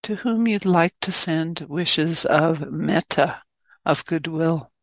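A woman leading a guided loving-kindness meditation as a teacher, speaking gently and in measured phrases with long pauses. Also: low voice, dry recording.

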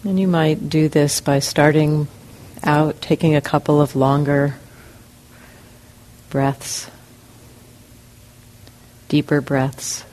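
A woman leads a guided meditation, speaking slowly in short phrases with long pauses. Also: low-pitched, level tone, darkish tone. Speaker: meditation teacher. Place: meditation center hall.

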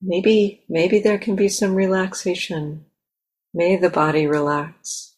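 A woman leading a guided meditation as a teacher, speaking gently and haltingly in short, softly inviting phrases. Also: lowish voice, steady tone, slightly rough. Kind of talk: guided meditation, unscripted and spoken in pauses. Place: meditation center talk.

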